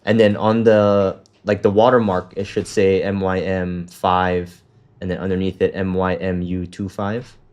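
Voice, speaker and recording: A man's voice: sits low, flat delivery, unhurried, a little mellow. Laid-back man walking someone through setup. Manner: loose, filler-heavy, swears casually. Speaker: trading coach. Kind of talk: casual walkthrough on a call.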